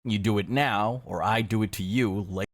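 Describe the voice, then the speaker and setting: Male narrator, slightly deep, for scripted second-person storytelling with a grim, measured delivery. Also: slight background noise.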